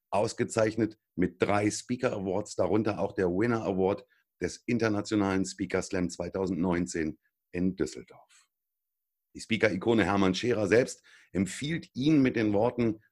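Low-voiced enthusiastic German podcast host. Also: male voice, darkish tone. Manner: upbeat, enthusiastic intro delivery. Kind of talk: podcast interview.

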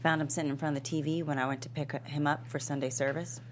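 An actress playing a plainspoken, patient small-town churchgoing woman, dry and gently teasing, in a comedy script read. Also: deep voice, flat delivery, fast, natural voice. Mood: gently earnest. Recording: clear background hum and noise.

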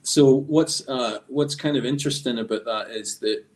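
A man talking as a guest artist on a podcast, telling stories in a halting, reflective, discursive way. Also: mid-range voice. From Scotland. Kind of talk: conversational storytelling in a podcast interview.

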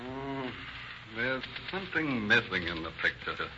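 A man playing a shrewd, methodical police inspector in a radio crime drama, questioning in a deliberate, probing way. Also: medium pitch, very animated. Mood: musing, suspicious. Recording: dull, noisy old radio recording.

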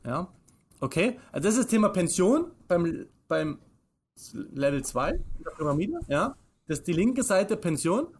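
Austrian man, early thirties, higher-set voice, emphatic explainer who poses questions and answers them himself. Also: big pitch swings, normal speed, slight background noise. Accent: Austrian German. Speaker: financial advisor, interview guest. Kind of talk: explanatory conversation.

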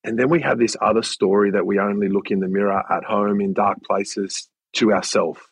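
A man's voice: on the low side, fairly even intonation, brisk, gravelly, breathy edge, dry recording. A man on a casual podcast who mentors students and athletes and explains things steadily, often restarting his sentences.

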